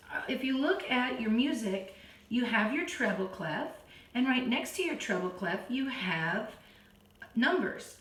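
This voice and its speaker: Hoarse lady teaching piano to kids real slow, far from the mic, keys playing loud behind. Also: a little high. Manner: frequent throat clearing, counts beats aloud. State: hoarse, losing her voice. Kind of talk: slow, patient keyboard lesson for kids. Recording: roomy, from a distance. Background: keyboard playing.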